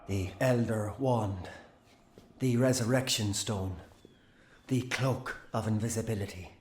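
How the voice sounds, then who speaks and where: A man plays an eccentric middle-aged wizard in a fantasy game. He speaks very slowly and portentously in a fairly low voice, with a clear echo around it. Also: a little mellow, slight background noise, close to an everyday voice. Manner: slow, portentous. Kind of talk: video game voice acting.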